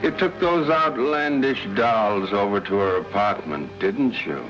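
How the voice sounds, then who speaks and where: A man's voice: on the low side, measured pace, fairly bright tone, muffled recording. An actor playing a stern, imperious, suspicious man who questions accusingly in an old-time radio drama. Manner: haughty, accusing. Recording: old radio broadcast with music.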